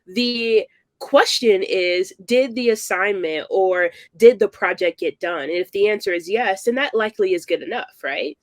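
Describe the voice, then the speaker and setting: A man's voice: high-pitched, normal speed, no room sound. A man hosting an education podcast, asking questions and framing ideas in fluent, easygoing sentences. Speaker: podcast host. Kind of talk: casual interview conversation.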